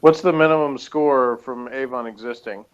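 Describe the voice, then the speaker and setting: A man's voice: mid-range voice, normal speed, clear, resonant tone. A man co-hosting a casual review chat, with a dry, teasing way of talking. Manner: dry, teasing, laughs often. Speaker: podcast co-host. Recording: dull lo-fi sound.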